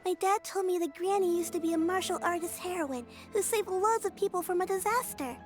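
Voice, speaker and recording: A woman's voice: very high voice, fairly quick, soft background music. A woman voicing a polite, caring young granddaughter in a video game, earnest and a little hesitant.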